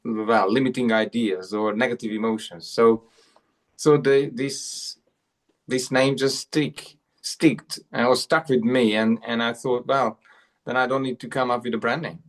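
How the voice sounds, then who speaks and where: A man's voice: medium pitch, fairly even intonation, a little slow. A man in his forties from Hungary, a coach telling his life story as an interview guest, with frequent fillers and repeated words. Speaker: guest, self-worth coach.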